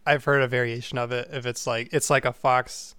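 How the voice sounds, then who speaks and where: A man talks through a riddle with his friends, thinking out loud, backtracking and swearing casually. His voice is smooth and clear, recorded dry and close. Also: medium pitch, level tone, a little fast, no room sound. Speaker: co-player. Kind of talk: casual chat over a game.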